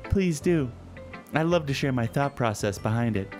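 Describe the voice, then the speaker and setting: A man voicing a shy, gloomy teenage literature club girl with a deep, dark, sullen delivery and a bookish manner. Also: expressive, faint music behind, close to an everyday voice. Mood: earnest, expert. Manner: stammering when nervous, otherwise measured and bookish.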